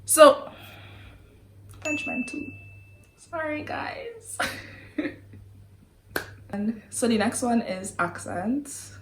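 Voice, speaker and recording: A woman's voice: on the high side, very animated, drawn-out words, long pauses, background noise. A young woman with a Trinidadian accent, chatting casually to camera, giggly, sighing and apologizing as she goes. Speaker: vlogger. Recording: roomy echo.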